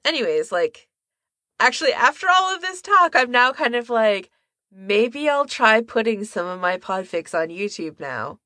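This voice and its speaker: A woman's voice: fairly high pitch, very animated, normal speed, bright, forceful tone, dry recording, studio quality. A woman podcast co-host in casual conversation, talking informally and thinking aloud as she goes. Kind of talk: casual chat about fan hobbies.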